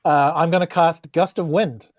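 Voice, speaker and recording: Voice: medium pitch, steady tone, normal speed, no room sound, muffled recording. Casual tabletop player, dry close sound. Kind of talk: casual game chat.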